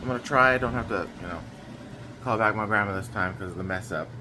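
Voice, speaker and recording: A man's voice: fairly low pitch, fast talker, pushed and bright, slight background noise. A young man chatting casually through his daily vlog plans, a little nasal and rambling with lots of fillers. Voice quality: slightly nasal. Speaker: vlogger.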